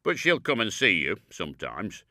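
A swelling, self-important beadle of a bygone parish, as a male reader puts him on. His voice is bright and ringing, lurching up and down in grand swoops. Every phrase is delivered with lordly, overbearing majesty, storybook style. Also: on the low side, huge pitch swings, moderate pace, dry recording, studio quality, heavily acted. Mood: pompous.

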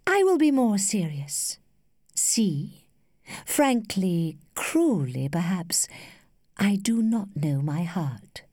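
A woman voicing a worldly, flirtatious lady who teases with cool indulgence in a measured, studied way, as in a storybook. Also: mid-range voice, big pitch swings, warm tone, dry recording, clean studio sound. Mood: earnest, rueful. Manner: studied, measured, archly playful.

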